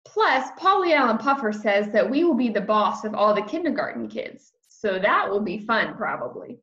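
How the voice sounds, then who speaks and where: A woman voicing an excitable, brash kindergarten girl from a storybook, chatty and emphatic with childish grammar. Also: fairly high pitch, normal speed, reverberant, lo-fi sound. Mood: unsure, chatty.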